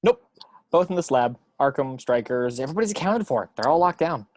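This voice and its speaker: A man's voice: mid-range voice, expressive, a little fast, a little mellow, close to an everyday voice. A man playing an eager, chatty superhero speedster in a script table read, with a nervous, informal way of talking. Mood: alert.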